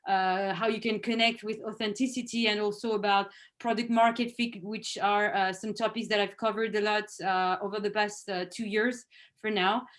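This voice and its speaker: A woman, a head of sales, giving an earnest presentation over a video call. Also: medium pitch. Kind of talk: virtual presentation. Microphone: video call microphone.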